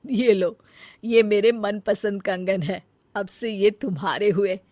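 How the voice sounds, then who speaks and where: A woman's voice: mid-range voice, steady tone, slow, no room sound, natural voice. A woman doing a hearty, praising cartoon aunt. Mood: generous. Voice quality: loud, effusive. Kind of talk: cartoon voice acting.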